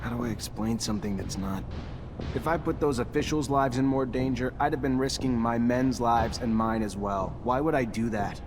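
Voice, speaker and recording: Actor as an earnest lieutenant, mid-pitched. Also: male voice, normal speed, over music, lightly acted. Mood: defensive. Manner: earnest, formal, defensive. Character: earnest, principled young male soldier lieutenant. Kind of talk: dramatic video game dialogue.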